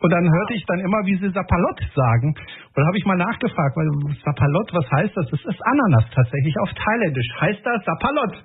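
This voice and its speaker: A man's voice: sits high, animated, fast talker, phone-quality sound, slight background noise. A male radio host chatting casually and upbeat with guests in a studio. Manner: chatty, upbeat, lots of fillers.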